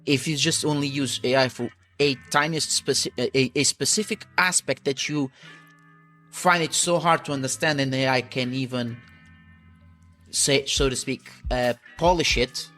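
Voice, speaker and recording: A man's voice: mid-range voice, normal speed, sharp tone, faint music behind. A man with a Portuguese accent, a native Portuguese speaker, hosting an interview and speaking hesitantly with frequent restarts. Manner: hesitant, searching for words, many restarts. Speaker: podcast host. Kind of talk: casual interview talk.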